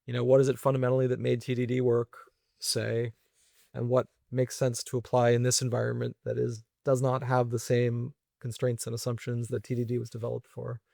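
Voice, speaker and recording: A man speaks very quickly in a mid-pitched voice with noticeable vocal fry. He sounds like a guest in a casual technical conversation, thinking aloud and checking in with tag questions, recorded close and dry. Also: monotone, dark tone, no echo. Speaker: guest, venture investor and software engineer.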